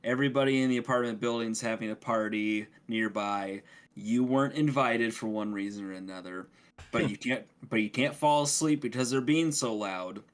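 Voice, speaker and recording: A man co-hosting a gaming podcast in casual conversation, halting and repeating words as he explains things. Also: mid-range voice. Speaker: podcast co-host.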